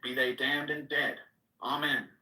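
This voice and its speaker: Guy reading old mystical scripture all solemn and grand, sharp bright voice, kinda far from the mic. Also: medium pitch, flat delivery, a little slow. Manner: declamatory, solemn, archaic phrasing. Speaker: reader. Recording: echoey room, distant mic.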